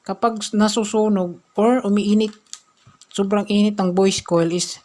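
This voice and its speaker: A woman presenting an informal hands-on repair tutorial, halting and punctuating steps with short filler phrases. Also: mid-range voice, steady tone, a little mellow.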